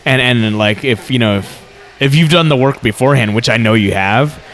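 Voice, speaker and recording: A man whose first language is Vietnamese co-hosts a relaxed podcast interview. He talks at a medium pitch, circling his point with stammered restarts and fillers. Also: slight background noise. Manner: rambling, halting restarts and fillers. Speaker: podcast co-host. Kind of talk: casual interview chat.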